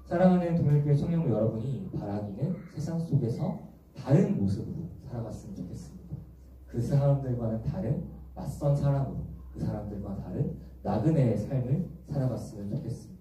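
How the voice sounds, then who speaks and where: A young man preaching to a church youth group in a gentle, explanatory way, often asking the congregation questions. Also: medium pitch, expressive, brisk, slight background noise. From Busan, South Korea. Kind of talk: sermon. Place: large echoey church hall. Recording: echoey church hall from far off.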